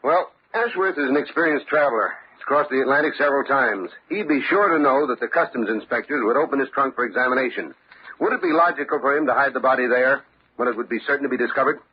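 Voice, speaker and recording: An actor playing a tough, shrewd homicide lieutenant in an old-time radio crime drama, with a brisk, assured manner. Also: male voice, mid-range voice, natural voice. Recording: dull, lo-fi old radio recording, faint noise.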